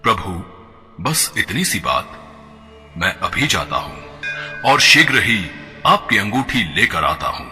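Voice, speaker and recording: A man's voice: on the low side, big pitch swings, slow, long pauses, bright, forceful tone, full character voice. A man voicing a devoted monkey god in a devotional cartoon, speaking in an eager, devoted way. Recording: long reverb with background music.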